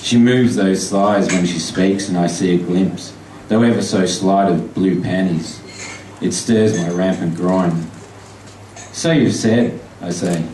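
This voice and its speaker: An Australian man, a poet, reading his poems and flash fiction aloud at a live event, chatty and halting between pieces, slow and deliberate when reading. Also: low voice, fairly even intonation, warm tone. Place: pub function room. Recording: echoey pub from far off with noise.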